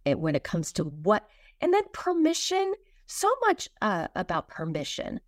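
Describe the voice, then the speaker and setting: A woman answering as an interviewed author, earnest and deliberate, pausing between phrases. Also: on the low side, wildly swooping pitch, dry recording, clean studio sound. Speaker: children's book author, podcast guest. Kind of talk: reflective interview conversation.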